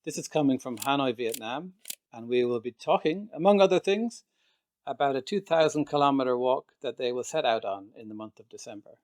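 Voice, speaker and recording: A man's voice: mid-range voice, expressive, brisk, a little mellow. A man interviewing two guests, asking plain, polite questions.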